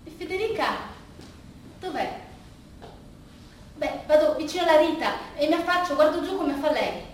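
Casting: actress for dramatic solo storytelling, very high register, broken phrasing, recorded far off in a strongly echoing space. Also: female voice, lively intonation, normal speed, a little hiss or hum. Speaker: stage actress, monologue performer. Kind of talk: dramatic theatrical monologue storytelling. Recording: very echoey, distant stage recording with music. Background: music.

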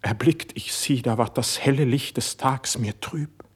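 An actor playing an impassioned, suspicious young court poet in a classical verse radio drama, with a husky, declamatory delivery. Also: male voice, mid-range voice, a little slow. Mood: anguished, brooding. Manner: declamatory, intense stage delivery.

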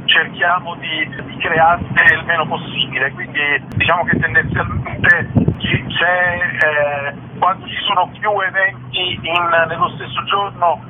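Higher-voiced man on a noisy phone line. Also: fairly even intonation, a little slow. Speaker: festival director being interviewed. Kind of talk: radio phone interview. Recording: tinny phone line with background hiss.

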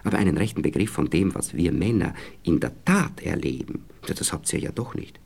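Gravelly, very deep-voiced Austrian husband, smug and patronizing. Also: male voice, animated, brisk, a little mellow, exaggerated voice. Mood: pompous, explaining. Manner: patronizing, hesitant, groping for words. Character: smug, moralizing husband lecturing his young wife. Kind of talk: radio play acting.

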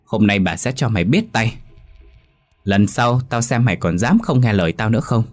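A man's voice: lowish voice, brisk, faint music behind, lightly acted. A man voicing a cruel, nagging stepmother who scolds and screeches. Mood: furious. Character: shrill cruel middle-aged stepmother.

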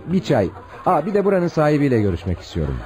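Earnest city searcher, mellow voice, quick, expressive pitch, polite, hesitant, radio play. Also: male voice, faint music behind. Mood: probing. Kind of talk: radio drama acting.

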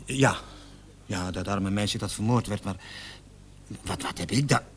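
A man's voice: on the low side, measured pace, a little hiss or hum, natural voice. An actor playing an indignant, flustered salesman of about thirty who stammers and protests under suspicion in a radio drama. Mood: flustered, alarmed. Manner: protesting, stammering when pressed. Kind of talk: Dutch-language radio drama acting.

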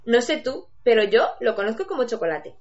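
Amateur radio play: trusting, cheerful girlish prefect, female performer, high voice, dull homemade recording. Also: big pitch swings, brisk, a little mellow. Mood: upbeat. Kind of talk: amateur Spanish-language radio play acting. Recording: muffled lo-fi home recording.

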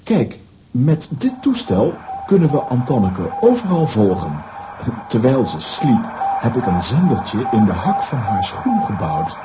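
A man's voice: mid-range voice, wildly swooping pitch, unhurried, music in the background. A man playing a coaxing, pedantic professor, proud of his science, with theatrical intonation in a children's radio play. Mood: proud explaining.

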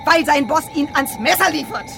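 An actress playing a tough, hot-tempered police investigator who is blunt, commanding and sarcastic, in a radio crime drama. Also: female voice, high voice, expressive, moderate pace, music in the background. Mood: furious, shouting.

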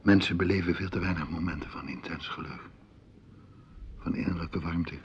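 Radio play seducer, male actor: low, husky voice, smooth insistent manner, poetic and sure of himself, dull lo-fi recording. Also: moderate pace, a little hiss or hum, close to an everyday voice. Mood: dreamy, seductive. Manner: coaxing, poetic, self-assured. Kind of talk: Dutch radio drama acting.

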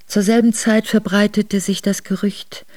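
A woman's voice: medium pitch, level tone, unhurried, darkish tone, dry recording, close to an everyday voice. A woman playing a noblewoman who soberly recounts sorrowful memories of exile in a radio drama. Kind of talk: radio drama memoir narration.

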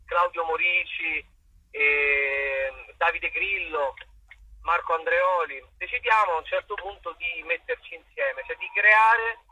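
A man's voice: fairly high pitch, slow pace, bright, forceful tone, slightly rough, no room sound, muffled recording. A man answering interview questions conversationally as an actor and playwright, hesitant and searching for words, often repeating himself.

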